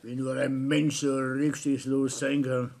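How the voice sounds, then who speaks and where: An actor playing a bullying, self-pitying older husband in a 1960s German radio play, grumbling and scolding with a Bavarian accent. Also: male voice, mid-range voice, little pitch movement, unhurried, vocal fry, a little mellow. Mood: sullen grumbling.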